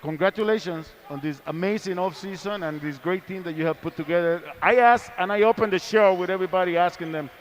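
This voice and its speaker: A man with a slightly high voice hosts a live sports broadcast. He speaks effusively, repeating words for emphasis as he sets up questions for his guests. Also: quick pace, few pauses. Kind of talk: live interview hosting. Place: large indoor arena, live event.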